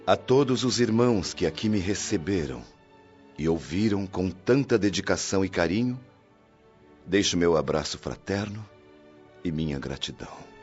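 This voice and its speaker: Low male voice, solemn loving father spirit, Brazilian accent, radio drama, earnest and grateful, soft music behind. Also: animated, moderate pace, highs cut off. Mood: tender, solemn pleading. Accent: Brazilian Portuguese. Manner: solemn, fraternal, formal pleading.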